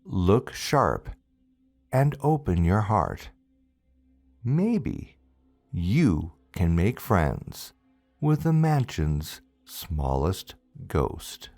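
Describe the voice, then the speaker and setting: A man narrating a scripted ghost story as a storyteller and host, with deliberate, dramatic phrasing and drawn-out words. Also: sits low, big pitch swings, gravelly, a little mellow, dry recording. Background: music.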